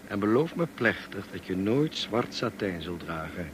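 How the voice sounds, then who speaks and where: An actor playing a reserved, brooding, worldly gentleman in a radio drama, speaking in a measured, clipped, dry way. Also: fairly low pitch, big pitch swings. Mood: curt, tender. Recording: clear background noise, car ambience. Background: car ambience.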